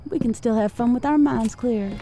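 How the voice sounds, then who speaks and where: Woman acting a soft, mellow, shy woman. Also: fairly high pitch, normal speed, lightly acted. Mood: tentative. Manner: timid, hesitant. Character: shy, nervous, polite, self-doubting woman. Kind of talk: audio drama acting.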